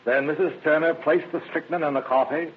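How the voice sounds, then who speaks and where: An actor playing a businesslike American district attorney, asking clipped, formal questions in an old radio crime drama. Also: male voice, fairly high pitch, steady tone, brisk, close to an everyday voice. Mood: probing. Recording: tinny, noisy vintage radio recording.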